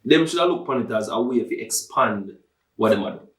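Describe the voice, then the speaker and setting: A man's voice: mid-range voice, animated, fairly quick, fairly bright tone. A man with a Jamaican accent in casual podcast discussion, explaining his view and checking that listeners follow. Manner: explanatory, seeks agreement. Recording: echoey room, distant from mic.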